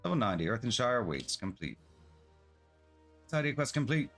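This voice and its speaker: A man's voice: mid-range voice, sing-song, normal speed, fairly bright tone, faint music behind. A man streaming a video game, reading quest text aloud and adding casual comments.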